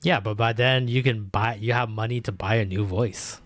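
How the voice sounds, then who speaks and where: A man's voice: fairly low pitch, fairly even intonation, normal speed, a bit bright. A man co-hosting a casual live-stream podcast chat, teasing his co-host and laughing easily.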